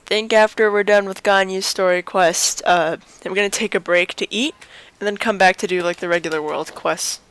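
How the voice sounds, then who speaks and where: A woman's voice: mid-range voice, fairly even intonation, normal speed, fairly bright tone, clean tone, poor audio. A woman streaming a video game with casual, offhand commentary, half talking to herself. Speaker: gaming streamer.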